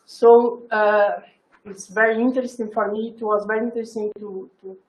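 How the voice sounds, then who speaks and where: Halting woman host in an echoey room. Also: medium pitch, steady tone, a little mellow. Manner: halting, searching for words, many fillers. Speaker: event host. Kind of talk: introducing a speaker.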